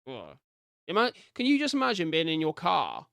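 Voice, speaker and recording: A man's voice: fairly high pitch, huge pitch swings, moderate pace, creaky, a bit bright, dry recording, clean studio sound. A British man doing informal live reaction commentary as a streamer, reading captions aloud and adding chatty asides. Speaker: streamer reacting to horror videos.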